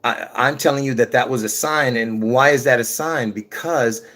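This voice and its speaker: A man from Lebanon talks straight to his viewers in a loose, rambling monologue. His voice sits in an ordinary middle pitch. He stops and restarts his sentences often. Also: normal speed, a bit bright. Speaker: conspiracy video creator. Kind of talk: rambling casual monologue to camera.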